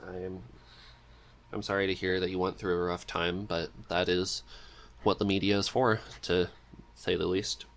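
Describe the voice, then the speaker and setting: A man's voice: low-pitched, level tone, measured pace, a bit bright. A man hosting a podcast, chatting casually and supportively with his guest. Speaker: podcast host. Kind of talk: casual interview chat.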